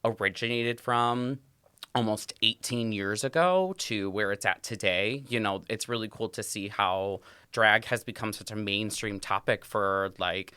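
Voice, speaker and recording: Bright, crisp-voiced chatty man. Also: fairly low pitch, dry recording. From Toledo, Ohio, USA. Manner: chatty and expressive, quick to laugh. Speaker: podcast guest, drag performer and hair salon manager. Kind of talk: casual interview chat. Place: studio.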